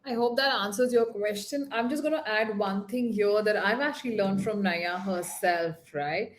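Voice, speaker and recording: A woman's voice: mid-range voice, normal speed. Upbeat woman moderating. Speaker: webinar moderator. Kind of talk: moderating a panel Q&A. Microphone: video call.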